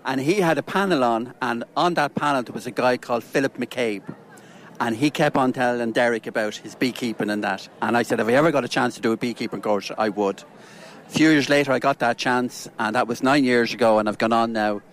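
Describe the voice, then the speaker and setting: Middle aged irish beekeeper, relaxed chatty guy, normal pitch. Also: a little fast. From Ireland. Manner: easygoing and chatty. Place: outdoor show stall.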